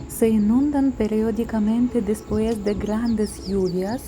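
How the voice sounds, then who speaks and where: A woman narrating her travel vlog as a scripted voice-over, reading slowly and carefully from a memorized translated script. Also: medium pitch, fairly even intonation, noisy background. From outside Paraguay. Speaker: travel vlogger. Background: faint background music.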